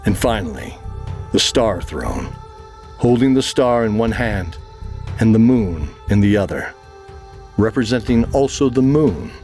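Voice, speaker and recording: A man's voice: fairly low pitch, very animated, unhurried, pauses often, darkish tone, faint music behind. A man narrating a fantasy audio drama in a scripted, dramatic storytelling style.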